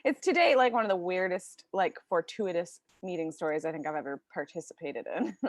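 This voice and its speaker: A woman, telling personal stories casually as an interview guest, chatty and quick to giggle. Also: fairly low pitch, expressive, no room sound. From British Columbia, Canada. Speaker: interview guest, surf camp co-founder. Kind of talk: casual chat, personal storytelling.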